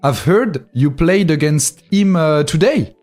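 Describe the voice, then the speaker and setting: A man's voice: on the high side, expressive, unhurried, a little mellow. A man from France with a French accent, hosting a casual interview in hesitant second-language phrasing, sounding amused. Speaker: interviewer and show host. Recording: music behind the voice.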